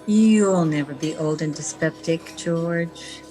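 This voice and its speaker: A woman playing a poised, smitten newspaperwoman in an old-time radio romance, measured, tender and ladylike. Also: low voice, music in the background, rough recording. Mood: dreamy. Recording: video-call radio play recording.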